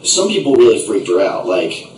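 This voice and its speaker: A man's voice: on the low side, big pitch swings, normal speed, a little mellow. A man improvising a comic story as a puppet show narrator, with a playful, rambling, theatrical delivery. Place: bathtub in a bathroom. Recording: echoey bathroom, distant noisy mic, water sounds.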